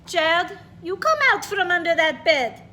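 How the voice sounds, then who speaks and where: A woman voicing a calm, reassuring old grandmother with a put-on Russian accent, coaxing and firm. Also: extremely high pitch, measured pace, slight background noise, pitched above the performer's own voice, heavily acted. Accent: Russian, put on imperfectly. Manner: coaxing, firm, urgent storytelling voice.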